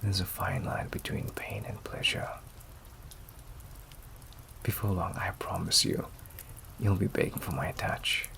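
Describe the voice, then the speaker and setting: Man acting a deep, gravelly, possessive vampire. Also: exaggerated voice, low-quality recording. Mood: tender, gloating. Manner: slow, silky, often breathy near-whisper, condescending endearments. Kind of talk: ASMR roleplay acting. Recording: steady rain ambience behind the voice. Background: rain.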